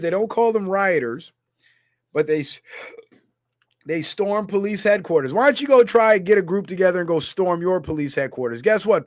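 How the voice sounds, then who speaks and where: Ranting high-voiced man from Guyana. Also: dry recording, studio quality. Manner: heated, ranting, reading comments aloud. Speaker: streamer. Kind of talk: political commentary livestream.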